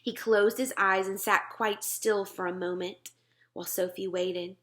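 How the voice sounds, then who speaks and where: A woman's voice: mid-range voice, fairly even intonation, measured pace, fairly bright tone. A woman narrating a children's storybook aloud in a scripted read-aloud style. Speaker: storybook reader.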